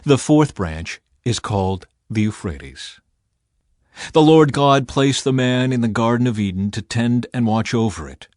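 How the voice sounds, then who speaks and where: A man narrating scripture as an audiobook in an expressive, deliberate, dramatic storytelling style. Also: mid-range voice, no echo, studio quality.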